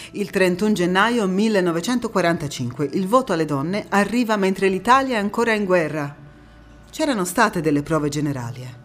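A woman's voice: lowish voice, lightly acted. An actress as a resolute woman activist, music behind. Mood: earnest, explanatory. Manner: emphatic, rhetorical, with dramatic pauses and repetitions. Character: passionate, resolute woman activist recounting postwar struggle for women's vote. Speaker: stage actress in a monologue. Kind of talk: theatrical narrative monologue.

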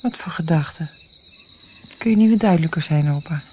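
An actress playing a caring, puzzled young granddaughter in a radio drama, speaking in a direct, questioning way. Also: female voice, low voice, normal speed, warm tone, lightly acted. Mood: curious, impatient. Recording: faint noise, night crickets. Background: chirping crickets.

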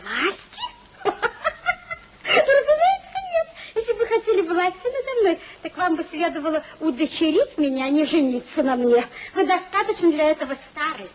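Shrill, flighty young wife. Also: female voice, sing-song, moderate pace. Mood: teasing, pouting. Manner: coquettish, defiant, exclamatory. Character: wilful, flighty, teasing young society wife. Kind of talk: 1950s theatrical radio comedy acting. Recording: noisy 1950s radio drama recording.

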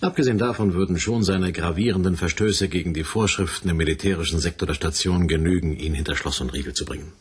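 Brusque, husky military major. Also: male voice, deep voice, fast talker, few pauses. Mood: sarcastic, unyielding. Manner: curt, sarcastic, authoritarian. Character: brusque, domineering military major commanding a space station. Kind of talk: 1980s German radio play acting.

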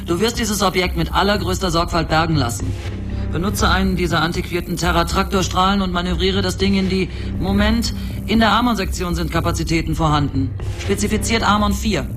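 An actor playing a sardonic, bossy commander at a space control centre in a radio drama. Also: male voice, sits high, fairly quick, music in the background, close to an everyday voice. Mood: stern, bossy. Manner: dry, bossy. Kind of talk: science fiction radio play dialogue.

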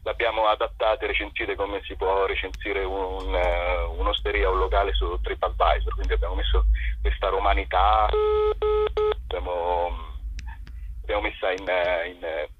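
A man chatting casually as an interview guest, a husky voice and a rambling, hesitant, jokey and self-deprecating manner. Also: deep voice, flat delivery, moderate pace, a little hiss or hum. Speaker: interview guest, theatre company performer. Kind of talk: casual radio interview chat. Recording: dull, boxy, roomy phone-line sound.

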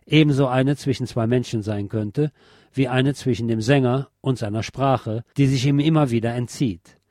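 A man reading scripted narration as if from a book, in a measured, literary manner. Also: mid-range voice, warm tone, dry recording, studio quality. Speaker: reader of a book chapter.

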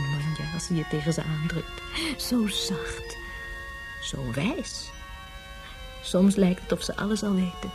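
An actress in a radio drama playing a dreamy, much-rejuvenated mother who muses tenderly, sometimes sinking into a hush. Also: lowish voice. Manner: tender, musing, drifting into hushed whispers.

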